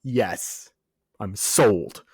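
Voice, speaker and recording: A man's voice: on the low side, extremely slow, a little mellow, husky, no echo. Casual, jokey man, close dry sound. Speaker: podcast co-host. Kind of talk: casual film and TV news chat.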